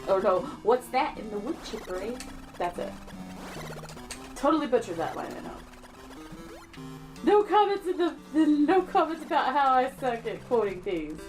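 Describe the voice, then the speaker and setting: A woman's voice: sits high, big pitch swings, normal speed, pauses often, a little mellow, soft background music, low-quality recording. A woman chatting casually and teasingly while watching a retro game being played. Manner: playful, teasing, giggly. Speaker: co-host watching a playthrough. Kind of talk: casual gaming chat.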